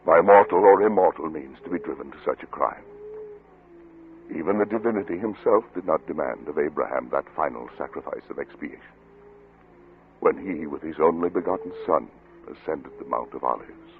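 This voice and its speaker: Deep, anguished doomed scientist. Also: male voice. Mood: grave remorse. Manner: grandiose, theatrical, tormented. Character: guilt-ridden scientist father writing his last confession, doomed, anguished. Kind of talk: 1940s radio drama monologue. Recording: muffled old radio recording, loud music.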